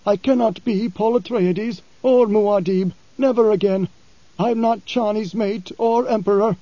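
A man voicing a blind, weary desert preacher who speaks in a solemn, measured way. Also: fairly even intonation, mellow, dry recording, dull audio. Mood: insistent. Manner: solemn, measured, raised pitch.

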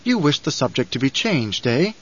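A man's voice: medium pitch, sing-song, moderate pace, lo-fi sound. A man voicing a stern, probing male count in an audiobook, speaking formally and pointedly with veiled threat. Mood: insinuating.

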